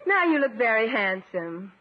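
A woman's voice: on the high side, big pitch swings, moderate pace. A woman playing a sweet, naive, doting sweetheart in a 1950s radio comedy. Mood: coy, affectionate. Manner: coy, gushing. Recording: muffled, hissy old radio recording.

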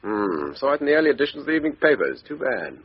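A man's voice: medium pitch, big pitch swings, racing, slightly rough. An offhand ex-army con man. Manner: offhand, casual, evasive. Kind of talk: 1950s radio drama acting. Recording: dull old radio broadcast.

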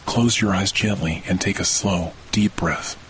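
A man guiding a scripted sleep meditation in a soothing, measured manner. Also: sits low, a bit bright, slight background noise. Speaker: podcast host, meditation guide. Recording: rain and thunder behind voice. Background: rain and distant thunder.

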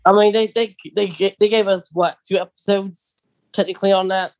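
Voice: mid-range voice, fairly even intonation, moderate pace. A podcast co-host with a mid-range voice who leads the casual conversation and moves it along with questions. The speech is hesitant, full of "um" and self-restarts. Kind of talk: casual chat.

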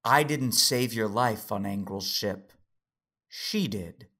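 Measured, enigmatic man with a husky, breathy edge, sci-fi audio drama. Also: fairly low pitch, sharp tone, full character voice. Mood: measured, persuasive. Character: cryptic, patient man sparring with a Jedi.

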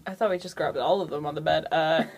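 A woman's voice: deep voice, fairly even intonation, fast talker, dull audio, slight background noise. A playful, jokey woman chatting casually as a player in a tabletop roleplaying game. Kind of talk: casual chat during a game.

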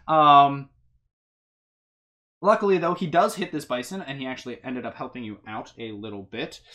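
A game master narrating a tabletop fantasy game off the cuff, in a halting, stammering, conversational way. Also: mid-range voice, a bit bright.

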